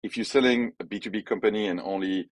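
A man's voice: lowish voice, quick pace, no echo. A man from France with a French accent, a podcast guest speaking casually and opinionatedly about his work, peppering his talk with "like" and "you know". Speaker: podcast guest, head of growth. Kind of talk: casual business conversation.

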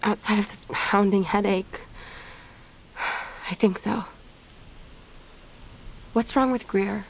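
A woman's voice: medium pitch, steady tone, slow pace, vocal fry, pauses often, low-quality recording. An actress playing a worried, restless captive woman in an audio drama, urgent and questioning. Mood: uneasy. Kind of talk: scripted audio drama acting.

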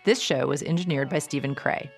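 A woman's voice: sits low, soft background music. A woman hosting a radio interview show in a studio, chatting easily and reading a scripted sign-off. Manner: quick reactive interjections, easy conversational. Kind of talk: interview chat and scripted outro.

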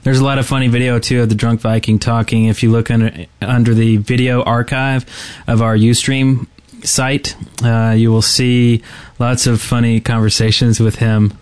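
A man's voice: mid-range voice, flat delivery, normal speed, hardly any pauses, a little mellow, clean tone, dry recording. A man co-hosting a podcast, speaking casually with a wry, joking manner. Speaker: podcast co-host. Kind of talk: casual chat.

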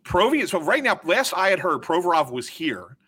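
Man, hockey reporter, bright tone, careful hedged explainer. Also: sits high, sing-song, breathy edge. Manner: measured, cautious explaining with fillers. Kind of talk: casual sports talk.

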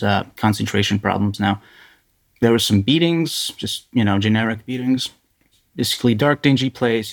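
A man being interviewed as a journalist, giving a candid first-person account in a halting, hesitant way. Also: lowish voice, vocal fry.

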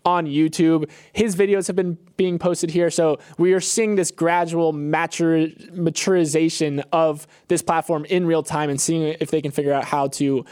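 A man's voice: a little high, fairly even intonation, moderate pace, hardly any pauses, a little mellow, clean tone, no room sound, studio quality. A man co-hosting a podcast, talking in a casual, conversational commentary style. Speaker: podcast co-host.

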